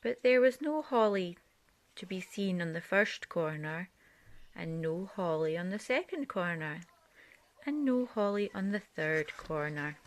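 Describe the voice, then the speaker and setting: A woman's voice: lowish voice, expressive, a little slow. A woman narrating a children's picture book aloud as a storyteller.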